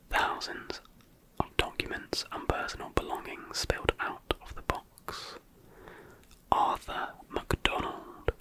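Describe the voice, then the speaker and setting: A woman narrating a first-person horror story in a soft, hushed whisper. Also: poor audio.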